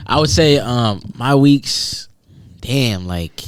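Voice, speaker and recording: A man's voice: medium pitch, animated, slow, slight background noise. A young man hosting a podcast in casual, slangy banter, often repeating phrases for hype. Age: young adult. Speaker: podcast host.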